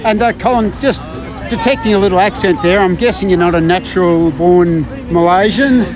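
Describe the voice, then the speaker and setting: A man's voice: sits high, a crowd in the background. A man from Victoria, doing upbeat, joking roving interviews outdoors at a running event. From Victoria, Australia. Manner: upbeat, chatty, quick to joke. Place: outdoors at a park run event.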